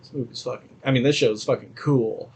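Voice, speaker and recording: A man's voice: mid-range voice, fairly even intonation, quick pace, darkish tone, a little hiss or hum, poor audio. Casual man co-hosting a review podcast. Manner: profane, opinionated. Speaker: podcast co-host.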